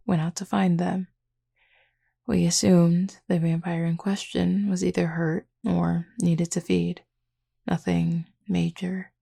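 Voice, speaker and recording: A woman's voice: mellow, exaggerated voice. A woman playing a flirty, laid-back, protective female werewolf in an intimate audio roleplay, speaking casually and unhurriedly to the listener. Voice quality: low, husky, gravelly. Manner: casual, unhurried, teasing, nonchalant.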